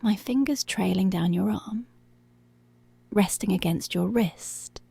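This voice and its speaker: A woman acts out a dominant policewoman in an ASMR roleplay. Her voice is breathy and soft-edged with a crackle of fry, often slipping into whispers. She speaks slowly and teasingly, very close and dry on the microphone, always in control. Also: mid-range voice, dry recording, heavily acted. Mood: intimate, commanding. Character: dominant, commanding, seductive policewoman.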